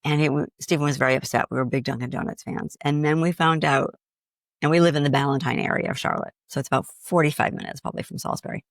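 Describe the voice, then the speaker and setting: A woman's voice: sits low, quick pace, vocal fry, dry recording, studio quality. A middle-aged woman from Boston with a slight Boston accent, chatting as a grief coach on a podcast and animatedly acting out inner voices. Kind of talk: casual podcast conversation.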